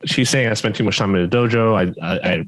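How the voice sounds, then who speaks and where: A man co-hosting a podcast with a low, hoarse voice, giving short, laid-back replies. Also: quick pace, a bit bright, dry recording. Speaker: podcast co-host and judo teacher. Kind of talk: casual chat.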